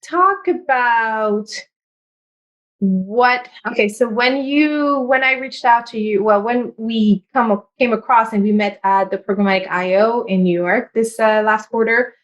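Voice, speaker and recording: A woman whose first language is French, hosting a casual podcast chat, animated and quick to laugh, with fast asides. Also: a little high, highs cut off. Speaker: podcast host.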